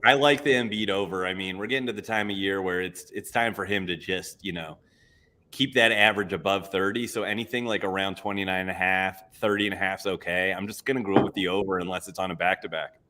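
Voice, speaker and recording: A man's voice: low voice, fairly even intonation, quick pace, bright, forceful tone. A man co-hosting a casual sports betting show, upbeat and eager as he talks through his picks. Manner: upbeat, enthusiastic. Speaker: co-host.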